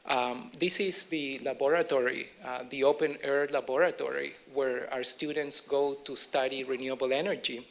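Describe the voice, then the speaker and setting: A man from Chile with a Chilean Spanish accent, giving a conference keynote in a measured way with many pauses and fillers. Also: mid-range voice, fairly even intonation, gravelly, a bit bright. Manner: measured, filler-heavy delivery. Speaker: keynote speaker.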